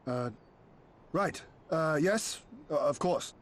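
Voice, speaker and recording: An actor playing an earnest young noble lord in a video game cutscene, speaking measured, formal oratory. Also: male voice, on the high side, lively intonation, fairly bright tone. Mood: hesitant, resolute. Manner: measured, solemn, formal diction. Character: noble young lord rallying his war-weary people, earnest.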